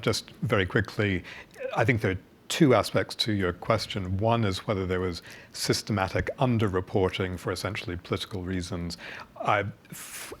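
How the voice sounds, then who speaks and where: Deep-voiced man, concise and analytical. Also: fairly bright tone, a little hiss or hum. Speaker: panelist. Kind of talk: panel discussion.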